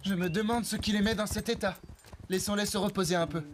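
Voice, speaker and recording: A man voicing a calm, sensible young horse rider in a children's cartoon, practical and reassuring. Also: high voice, fast talker, loud music behind the voice, close to an everyday voice. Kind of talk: French cartoon dub acting.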